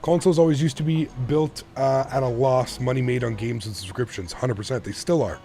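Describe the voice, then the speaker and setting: A man's voice: medium pitch, brisk, warm tone, soft background music. A man in his thirties from Canada live streaming a flight simulator, chatting casually with viewers while straining a sore throat. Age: 30s.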